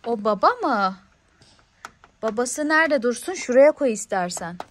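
A woman's voice: a little high, big pitch swings, measured pace, slight background noise. A woman in a playful toy unboxing, talking to a small child in an encouraging way with lots of questions. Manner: encouraging, question-filled, child-directed talk. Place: indoors on a living-room sofa.

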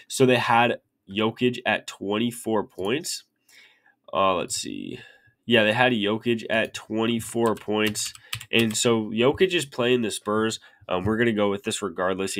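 Sick young man with a lowish voice. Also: fairly bright tone. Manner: rapid, filler-heavy, repeats "literally". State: sick, sniffly. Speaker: sports betting picks creator. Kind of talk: casual talk to camera. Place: small room indoors.

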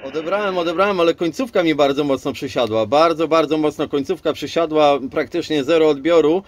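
A man's voice: fairly high pitch, fairly quick, slight background noise. A man chatting casually as an amateur radio operator, rambling a little and repeating himself. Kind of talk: casual radio contact chat.

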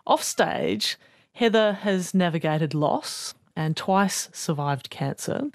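A woman hosting a radio interview, clear and composed. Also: lowish voice, expressive, takes it slowly, no room sound, clean studio sound. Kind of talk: broadcast interview. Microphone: studio mic.

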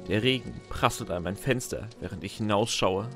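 A man's voice: on the low side, normal speed, gravelly, fairly bright tone. A man playing a sardonic, lonely narrator in a scripted first-person audio drama, with a dry manner. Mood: sad, wistful. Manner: dry, sarcastic. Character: frustrated, sardonic male narrator, lonely and sentimental underneath. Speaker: narrator and lead character. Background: music.

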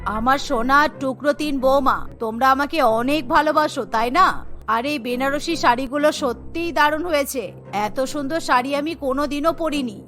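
A woman's voice: sits high, normal speed, fairly bright tone, soft background music, pitched below the performer's own voice. A woman voicing an opinionated, biased older mother-in-law in a cartoon, speaking emphatically. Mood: doting.

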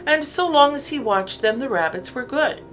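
A woman narrating a children's story from a script, in an expressive, deliberate storytelling way. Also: sits high, soft background music.

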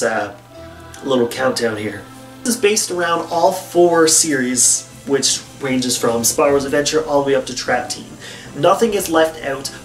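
A young man in his twenties, nasal from the flu, talking casually and animatedly to camera as the host of a gaming channel in a small bedroom. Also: a little high. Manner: animated, gesturing with both hands. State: nasal, getting over the flu. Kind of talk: casual direct-to-camera talk. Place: small bedroom with posters on the wall. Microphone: webcam or camera a few feet away. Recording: roomy, distant camera audio.

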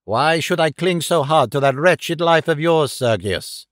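A man's voice: fairly high pitch, lively intonation, moderate pace, a bit bright, dry recording, clean studio sound. A man voicing a dignified, disdainful foreign woman in an audiobook story, speaking with earnest, dramatic gravity.

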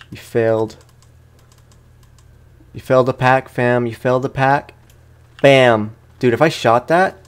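A man's voice: medium pitch, smooth. A man hosting a let's play, chatting casually and playfully in slangy speech as he comments on the game. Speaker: gamer, let's play host.

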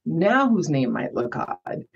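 A woman's voice: sits low, very animated, slow pace, vocal fry, breathy edge, highs cut off. A Haitian-born woman whose first language is Haitian Creole, speaking as a podcast guest, emphatic and often checking in with "right?". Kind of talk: conversational storytelling.

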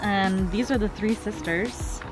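A soft-spoken, slightly hesitant woman explaining casually outdoors, a short way from the camera. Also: mid-range voice, a little slow, soft background music, low-quality recording. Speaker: travel companion. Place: outdoors at a mountain viewpoint.

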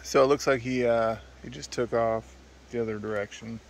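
A man in his thirties narrates a camping vlog in a medium-pitched voice. He speaks casually and matter-of-factly, pausing with hesitations. He is recorded outdoors on a handheld phone with faint ambient noise behind him. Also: steady tone, gravelly. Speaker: travel vlogger. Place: outdoors at a forest campsite. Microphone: handheld phone at arm's length.

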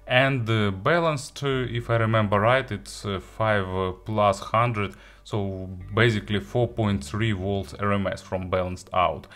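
A man who is a non-native English speaker, giving an unscripted product review in a halting, hesitant way with frequent pauses. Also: on the low side, steady tone, fairly bright tone. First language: not English. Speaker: audio gear reviewer.

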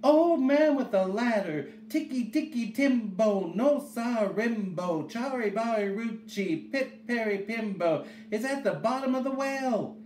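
A man's voice: mid-range voice, a little slow, a little mellow, close to an everyday voice. A man voicing a respectful, frantic little boy in a storybook, gasping and pleading with urgency. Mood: urgent pleading. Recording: echoey room, faint background noise.

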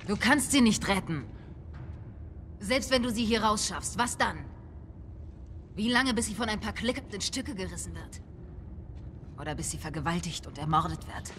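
A woman playing a resolute, grave armed leader in a video game drama, measured and insistent. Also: mid-range voice, animated, fairly bright tone, slight background noise, natural voice. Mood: urgent, stern.

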